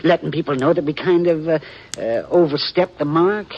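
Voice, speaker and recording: A man's voice: a little high, moderate pace. An actor playing a nervous, folksy Western townsman with an American drawl, stammering and self-justifying in a 1950s radio western. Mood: nervous, wheedling. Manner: flustered, stammering, repeats himself, wheedling. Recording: dull, lo-fi 1950s radio recording.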